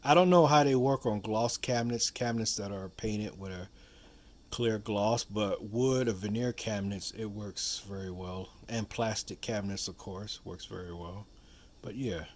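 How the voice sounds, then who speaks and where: A man with a fairly low voice hosts a casual how-to video. He talks through a hands-on demonstration in a loose, rambling way, often circling back to repeat his points and dropping in little asides. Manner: rambling, repetitive, with frequent "you know" asides. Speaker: video host demonstrating a product.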